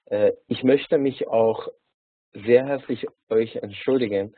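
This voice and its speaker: A man from Hungary speaking with a Hungarian accent, teaching an online lesson on a video call, halting with many filler sounds and restarts. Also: medium pitch, husky, dry recording. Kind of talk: online lecture. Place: small indoor room. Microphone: webcam or laptop mic on a video call. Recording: tinny phone-like video call.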